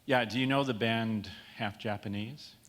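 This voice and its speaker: Bright-voiced man in a reverberant hall. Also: on the low side, moderate pace. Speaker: audience member asking and answering. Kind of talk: casual joking exchange. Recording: reverberant hall with faint noise.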